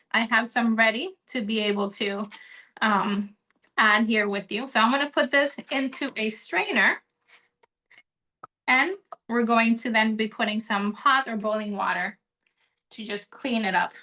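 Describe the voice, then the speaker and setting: A woman's voice: fairly high pitch, sharp tone. A woman from Honduras leading a friendly, explanatory online cooking demonstration, talking while she chops and prepares food. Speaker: cooking demo instructor.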